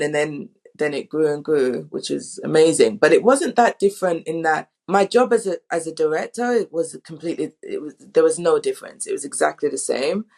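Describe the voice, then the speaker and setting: A woman's voice: low-pitched, creaky, a little mellow. A woman speaking as a director in a casual interview, chatty and often interrupting herself. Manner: chatty, halting, self-interrupting. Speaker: director, panel guest.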